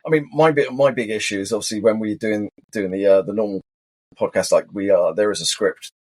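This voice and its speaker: A husky-voiced man with a lowish pitch talks quickly in a casual podcast chat. He projects loudly, in the way of a trained campfire storyteller. The recording is made on a sofa at home. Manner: loud, projecting, animated storyteller. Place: living room sofa at home. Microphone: shared desk microphone.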